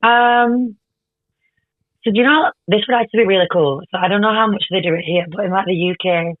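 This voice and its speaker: Label staffer, woman, croaky from karaoke, dry dull phone line. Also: medium pitch, fairly quick, no echo. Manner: bright, casual, says "babes" and "reckon". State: slightly croaky voice. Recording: muffled phone-call audio.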